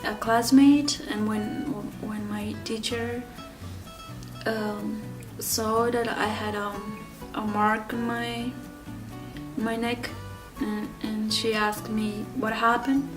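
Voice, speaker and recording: A woman in her thirties from Ecuador telling her own story in an interview, speaking haltingly with many fillers and restarts. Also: mid-range voice, flat delivery, distant mic, over music. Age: 30s. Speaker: interview guest.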